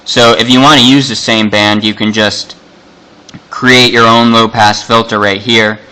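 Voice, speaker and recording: A man's voice: fairly low pitch, fairly even intonation, unhurried, pushed and bright, clean tone, low-quality recording. A man giving an unscripted hands-on electronics demonstration, explaining things in a casual, matter-of-fact way.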